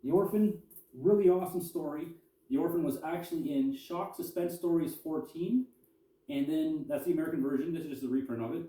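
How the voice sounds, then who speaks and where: Guy reading old articles out loud w/ casual comments, really dark muffled tone, echoey room far from the mic. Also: on the high side, brisk. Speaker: comic collector and historian presenting.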